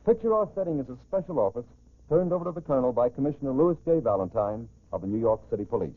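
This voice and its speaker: A tinny old radio recording of an American male announcer. His mid-pitched voice moves up and down with lively emphasis in formal 1940s broadcast style. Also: quick pace.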